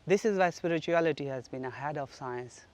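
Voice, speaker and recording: A man from Pakistan giving an earnest, reflective spoken teaching at home, his sentences often trailing off softly. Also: fairly high pitch, fairly quick. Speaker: spiritual teacher. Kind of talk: solo spoken teaching on manifestation. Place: flat.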